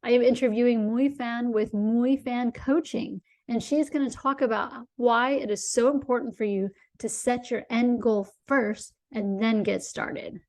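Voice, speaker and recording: An upbeat, welcoming woman hosts her podcast. Her voice sits slightly higher than most women's. She asks her guest questions in a friendly, conversational way. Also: fairly even intonation, measured pace, few pauses, a little mellow, low-quality recording. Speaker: podcast host. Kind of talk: podcast hosting.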